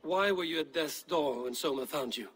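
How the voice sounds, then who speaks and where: An actor playing a stern Viking warrior in a video game, questioning people in a measured, grave way. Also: male voice, on the high side, fairly bright tone, a little hiss or hum, lightly acted. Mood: intent, questioning. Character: stern probing Viking warrior investigating a betrayal. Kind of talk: video game cutscene dialogue.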